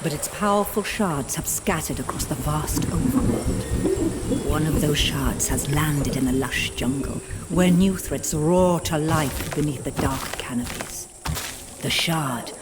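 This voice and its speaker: A woman's voice: low voice, background noise. A woman narrating a fantasy game cutscene in a grave, portentous, dramatic storytelling style.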